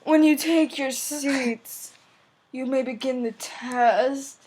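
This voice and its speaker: A woman voicing a creepy, leering male school teacher, slow and hushed, in an amateur anime fan-fiction reading. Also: sits high, noisy background, voice pushed down.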